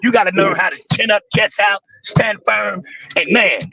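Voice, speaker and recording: A man with a raspy voice who talks intensely and with emphasis, swearing freely in a casual livestream chat. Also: high-pitched, moderate pace, bright, forceful tone, poor audio. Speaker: guest on a live stream.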